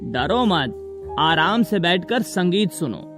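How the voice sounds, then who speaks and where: High-pitched earnest cartoon dad played by a man. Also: big pitch swings, takes it slowly, a bit bright, soft background music, heavily acted. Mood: reassuring. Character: reassuring husband and father, alarmed under threat. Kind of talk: children's cartoon story voice acting.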